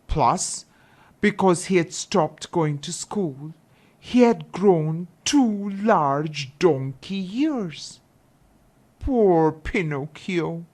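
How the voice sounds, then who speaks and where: A woman storyteller reads a children's fairy tale. Her voice sits a little low and moves through wide, lively intonation. She takes her time, phrasing each part deliberately.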